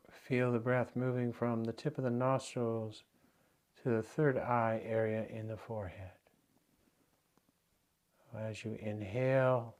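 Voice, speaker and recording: Man leading a guided meditation. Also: mid-range voice. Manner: gentle, unhurried instruction with pauses. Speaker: meditation teacher.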